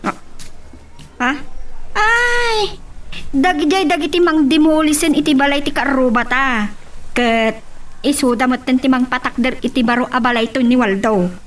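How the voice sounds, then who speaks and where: A woman's voice: sits high, normal speed, pauses often, slight background noise, close to an everyday voice. A woman with an Ilocano accent acting a nosy, gossiping wife in an audio drama. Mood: gossipy.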